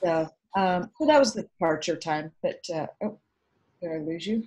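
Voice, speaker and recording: Low-voiced woman from Vietnam, reflective. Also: expressive, normal speed, darkish tone, poor audio. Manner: reflective, hesitant with many fillers. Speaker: guest. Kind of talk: video call interview. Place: home room indoors. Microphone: video call webcam.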